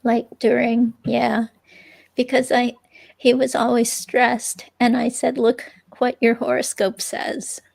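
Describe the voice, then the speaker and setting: Slow, creaky-voiced woman acting a hesitant ex-girlfriend. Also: fairly high pitch, little pitch movement, darkish tone, full character voice. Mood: confiding. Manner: hesitant, halting, casual. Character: hesitant, chatty ex-girlfriend being questioned by police. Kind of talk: dramatic reading of an interview transcript.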